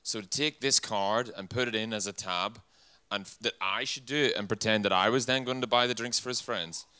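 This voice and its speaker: A man's voice: on the low side, brisk, bright, forceful tone, clean tone, dry recording. A man with an Irish accent telling a blunt, matter-of-fact personal story. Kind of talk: personal anecdote.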